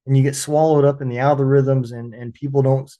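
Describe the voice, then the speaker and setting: Man, podcast host, veteran, casual chat, halting, lots of restarts. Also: medium pitch, level tone, warm tone. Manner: halting, frequent restarts and fillers.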